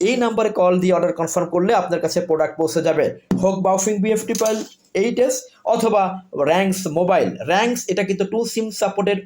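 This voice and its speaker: A man with a Bangladeshi accent giving an informal product sales pitch, repetitive and insistent, running through points in lists. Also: darkish tone. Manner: repetitive, insistent, with list-like phrasing. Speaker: product seller.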